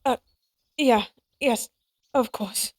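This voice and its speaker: A woman's voice: on the high side, slow pace. A woman acting in an audio roleplay as an anxious, tender young mother who speaks hesitantly and wistfully. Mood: relieved, surprised.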